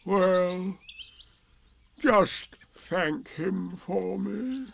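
A man doing a slow gloomy old donkey. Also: sits high, creaky, fairly bright tone, breathy edge, pitched above the performer's own voice, heavily acted. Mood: mournful.

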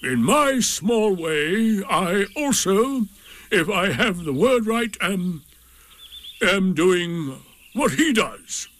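A man voicing a gloomy, scornful old donkey in a storybook, with slow and solemn pronouncements. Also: sits high, big pitch swings, a bit bright, voice pushed up, exaggerated voice. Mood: solemn, ponderous.

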